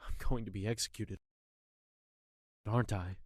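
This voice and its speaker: A man's voice: fairly low pitch, fairly even intonation, moderate pace, no room sound, clean studio sound, natural voice. A man playing a guilty but principled subject of royalty in an audio drama, earnest and deferential in manner. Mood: resigned, earnest.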